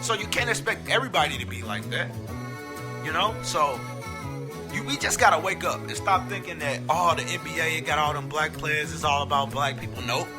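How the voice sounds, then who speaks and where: A man's voice: a little high, animated, a little fast. A man hosting a podcast, giving a blunt, impassioned opinion monologue and swearing freely. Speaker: podcast host. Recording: roomy with hip hop music behind.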